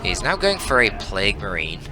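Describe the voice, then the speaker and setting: Low-voiced man casting a strategy game. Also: very animated, moderate pace, over loud music. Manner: analytical, conversational, casually sweary. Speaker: esports caster and channel host. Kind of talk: live strategy game match commentary.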